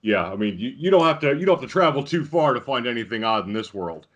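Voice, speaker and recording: A man's voice: medium pitch, big pitch swings, fast talker, fairly bright tone, dull audio. An American man hosting a podcast interview, telling stories in a rambling, informal, amused way. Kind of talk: casual chat, storytelling.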